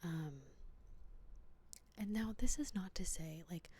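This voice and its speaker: A young woman from the Southern United States telling a personal story in a soft, hesitant whisper, full of "like" fillers. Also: lowish voice, animated, slow pace, breathy edge. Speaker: vlogger.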